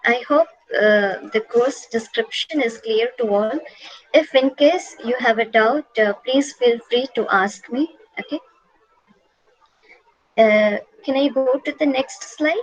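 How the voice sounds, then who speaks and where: A woman's voice: a little high, takes it slowly, no room sound, rough recording. A woman giving an online class lecture from slides, patient and repetitive, with frequent "uh" fillers.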